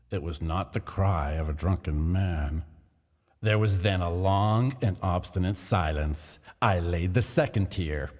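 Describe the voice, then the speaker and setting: A man narrates a gothic tale in a deep register, taking his time over each phrase with a deliberate, theatrical delivery. His words ring out and linger in a long, reverberant hall. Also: a little mellow. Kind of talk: dramatic literary narration.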